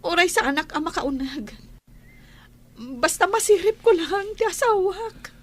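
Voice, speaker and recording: A woman acts a devoted, anxious young wife in a radio drama. Her high voice is earnest and pleading, yet determined. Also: expressive, unhurried.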